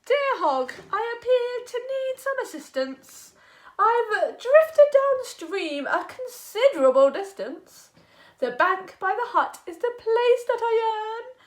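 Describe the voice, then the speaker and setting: A woman's voice: extremely high pitch, very animated, slow, pitched above the performer's own voice, exaggerated voice. A woman voicing a stubborn, polite old male frog in a storybook, speaking in earnest, formal rhyme. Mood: polite pleading.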